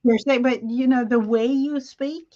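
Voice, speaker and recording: Hesitant woman with a slightly high voice. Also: steady tone, unhurried, highs cut off. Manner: hesitant, many fillers, soft laughs. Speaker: co-host. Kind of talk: casual livestream chat.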